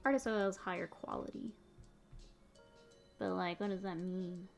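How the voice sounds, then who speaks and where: A woman's voice: fairly low pitch, steady tone, normal speed, gravelly, soft background music. A woman in her thirties with a mixed northern US and Texas accent, chatting casually on a livestream while she paints miniatures, reading chat aloud and laughing often.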